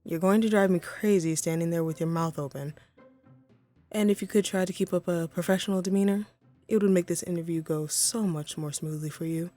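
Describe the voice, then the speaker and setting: A woman's voice: fairly low pitch, fairly even intonation, fairly quick, mellow, faint music behind, lightly acted. A woman voicing a haughty, wry female supervillain in a scripted audio roleplay, poised and theatrical but conversational. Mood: imperious.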